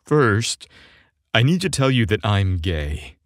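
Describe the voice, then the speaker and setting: Man reading earnest, hesitant young romance hero, slow-paced. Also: lowish voice, big pitch swings, no echo, studio quality. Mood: hesitant, serious. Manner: hesitant, sincere. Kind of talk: audiobook dialogue.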